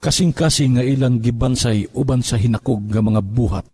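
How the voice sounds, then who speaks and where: A man solemnly reading scripture from a script, with measured phrasing. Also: medium pitch, dark tone, a little hiss or hum. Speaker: scripture reader.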